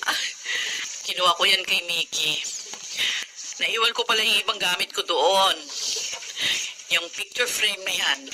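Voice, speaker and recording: A woman's voice: mid-range voice, a little slow, long pauses, pushed and bright, low-quality recording. Lady playing a caring middle-aged eatery owner, teasing tone, hissy drama recording.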